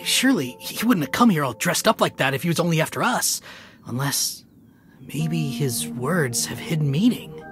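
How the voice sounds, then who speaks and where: A man's voice: a little high, expressive, normal speed, a bit bright, soft background music. An actor playing a cautious male bandit henchman in a video game, doubtful and wary.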